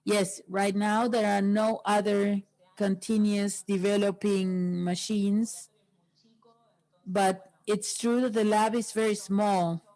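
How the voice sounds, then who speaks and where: A woman interpreting a workshop into English, pausing often between phrases. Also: mid-range voice, level tone, a little mellow, low-quality recording.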